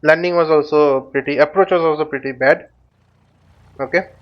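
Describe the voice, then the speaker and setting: A man hosting a flight simulator tutorial, thinking aloud in short, halting phrases as he casually walks through what he is doing. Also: on the high side, steady tone. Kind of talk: casual spoken walkthrough while flying a simulator. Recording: dull, lo-fi microphone sound.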